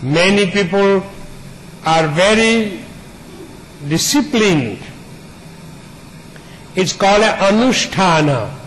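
A man's voice: sits high, expressive, takes it slowly, long pauses, fairly bright tone, slight background noise, low-quality recording. A man teaching a spiritual discourse in an earnest, plain way, building long repeated lists and simple images.

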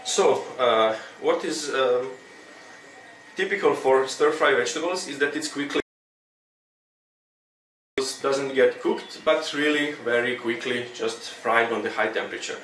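A man with a Croatian accent giving an informal cooking demonstration, explaining things in a halting way. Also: medium pitch, a bit bright. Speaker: cooking instructor. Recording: roomy, distant mic with clear background noise.

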